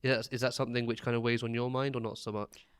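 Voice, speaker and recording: Man, podcast host, hesitant, smooth voice, dry close recording. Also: medium pitch, flat delivery, very fast, no echo. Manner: hesitant, with many restarts. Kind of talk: interview conversation.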